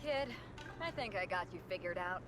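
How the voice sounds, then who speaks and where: A woman's voice: fairly low pitch, lively intonation, normal speed, noisy background, close to an everyday voice. An actress playing a bold, cocky, swaggering woman fighter in a video game. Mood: cocky, amused.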